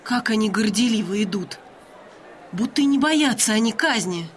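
A woman's voice: fairly high pitch, lively intonation, normal speed, slight background noise, close to an everyday voice. An actress playing a naive, exclamatory young noblewoman in an audio drama. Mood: wide-eyed excitement. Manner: gasping, exclamatory.

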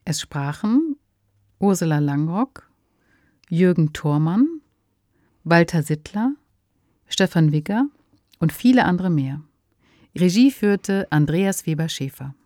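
A woman's voice: on the low side, lively intonation, takes it slowly, long pauses, dark tone, no room sound, studio quality. A woman, co-hosting a podcast in a conversational, discursive style. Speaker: podcast co-host. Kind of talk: conversational radio drama discussion.

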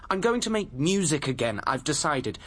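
An actor playing a fragile, impassioned young English soldier and husband in a radio drama, halting and stammering with emotion. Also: male voice, on the high side, big pitch swings. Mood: insistent.

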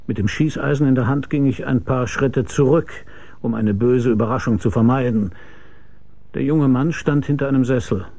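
Actor as a dry, sardonic private detective. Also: male voice, medium pitch, mellow, natural voice. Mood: grim narrating. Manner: dry, mocking, deliberate storytelling. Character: sardonic, shrewd private detective who tells the story himself. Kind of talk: German radio crime drama acting with first-person narration.

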